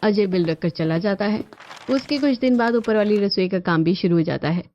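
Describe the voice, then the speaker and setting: A woman's voice: mid-range voice, moderate pace, mellow, a little hiss or hum. A woman reading scripted narration for a cartoon family story. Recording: dull lo-fi audio with music behind.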